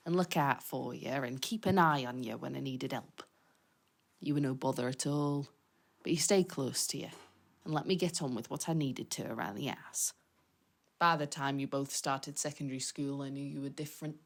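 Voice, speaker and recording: A low, creaky female voice plays a proud working-class mum. She reads a heartfelt letter in an audio drama, tender and gently teasing toward her grown son. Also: full character voice.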